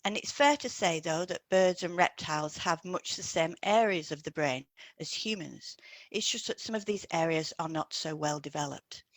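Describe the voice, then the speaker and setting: Lowish-voiced woman presenting in dry sound. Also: normal speed, dry recording. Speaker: podcast co-host, hypnotherapist. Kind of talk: scripted educational podcast narration.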